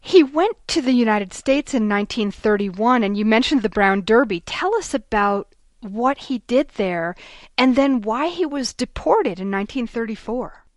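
A woman hosting a radio interview show, reading measured, scripted introductions and questions. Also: fairly high pitch, animated, dry recording. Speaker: radio host.

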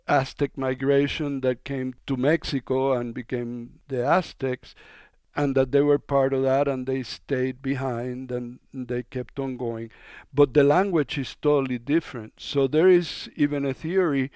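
Radio guest, man from Cuba, smooth and resonant, relaxed talk, dry close mic. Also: medium pitch, no echo. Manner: relaxed, conversational, with fillers. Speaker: documentary filmmaker guest. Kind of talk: radio interview.

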